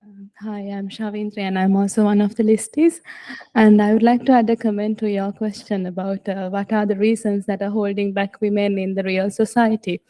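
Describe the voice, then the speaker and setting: A woman's voice: medium pitch, flat delivery, normal speed, dark tone. A woman from Sri Lanka, an audience member and researcher, making an earnest comment with frequent "uh" fillers. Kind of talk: comment from the floor at a panel.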